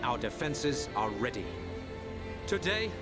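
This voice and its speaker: An actor playing a proud, earnest young prince who commands an army in a game cutscene, speaking in a stately, formal, oratorical way. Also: male voice, mid-range voice, sing-song, takes it slowly. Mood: resolute. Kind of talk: video game cutscene acting. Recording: very loud music behind the voice. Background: loud orchestral game music.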